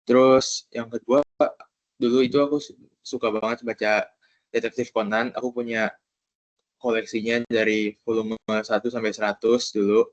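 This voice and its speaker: A man's voice: medium pitch, level tone, moderate pace, no room sound, dull audio. A young man from Jakarta, a student, introducing himself casually and a little hesitantly on an online call. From Jakarta, Indonesia. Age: young adult. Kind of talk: informal self-introduction on a group call.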